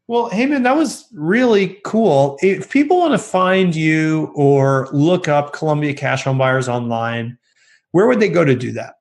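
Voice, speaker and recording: A man hosting a podcast, sounding hoarse and a little raspy from being sick, chatting in an upbeat, casual way with lots of fillers. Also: on the high side, animated, measured pace. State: hoarse, recovering from illness. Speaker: podcast host. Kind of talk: casual interview and outro.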